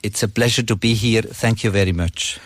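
A man in his sixties with a Swiss accent, speaking conversationally as an interview guest in halting, non-native English. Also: fairly low pitch, steady tone, no echo. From Switzerland. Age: 60s.

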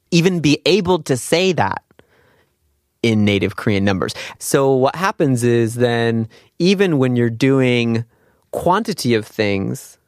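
A man's voice: mid-range voice, no room sound. Dry-sounding man giving an informal lesson. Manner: conversational, explanatory, poses rhetorical questions to the listener. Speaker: language teacher and host. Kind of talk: informal spoken lesson with examples and quiz questions.

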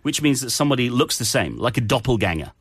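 A man's voice: medium pitch, big pitch swings, fast, a loud crowd. A man co-hosting a show about English expressions, explaining them conversationally with examples in a chatty way.

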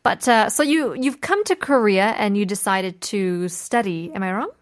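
A woman's voice: medium pitch, drawn-out words, no room sound, studio quality. A woman hosting a radio interview, chatting casually and switching between English and Korean. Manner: animated, mixes English and Korean. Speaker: radio show host.

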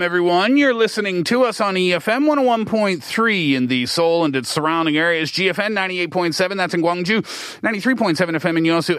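A man's voice: sits high, a bit bright, no room sound. A man from the East Coast of Canada hosting a radio show from a studio, explaining steadily with the odd filler. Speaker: radio host. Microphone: studio mic.

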